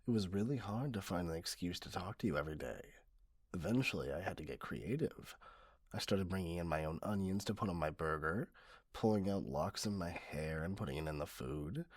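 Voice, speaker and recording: Man acting out a husky, low-voiced obsessive Karen customer. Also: expressive, a little fast, dry recording, studio quality. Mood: smug, doting. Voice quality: husky, often breathy. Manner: condescending, self-righteous, cloyingly possessive. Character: obsessive, entitled middle-aged yandere customer, a "Karen" parent kidnapper. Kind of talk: ASMR roleplay monologue. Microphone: close, dry microphone.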